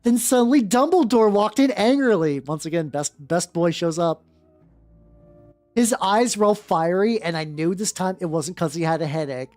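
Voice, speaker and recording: A woman's voice: fairly low pitch, animated, normal speed, darkish tone, no echo. A woman streamer reading fan fiction dramatically, breaking in with wry joking asides. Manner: theatrical, self-interrupting, wry commentary. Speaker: streamer, host. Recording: dry close sound with music behind. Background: background music.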